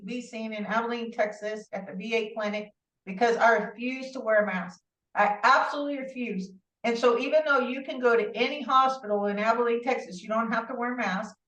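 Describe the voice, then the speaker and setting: Rambling woman on a distant, echoey line. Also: on the high side. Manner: rambling, animated, many asides and restarts. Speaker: interview guest. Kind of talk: casual conversational storytelling. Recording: distant, roomy, dull-sounding audio.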